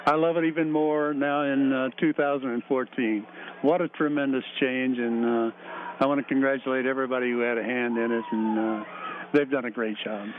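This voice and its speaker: An older man, a former student, talking haltingly as a guest in a stadium press box during a radio broadcast. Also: medium pitch, steady tone, slight background noise. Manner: hesitant, halting with many fillers. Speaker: guest alumnus. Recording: narrow phone-like radio broadcast.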